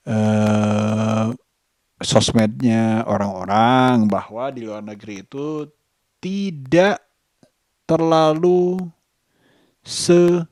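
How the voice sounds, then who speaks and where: Guy doing a casual vlog, slow n halting delivery, mid pitch, no room echo. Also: darkish tone, studio quality. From Indonesia. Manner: halting, deliberate, breaking thoughts into short phrases. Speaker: vlogger.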